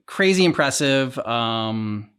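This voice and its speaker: Man reacting casually, lively pitch. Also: slow, clear, resonant tone. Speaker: reaction channel host.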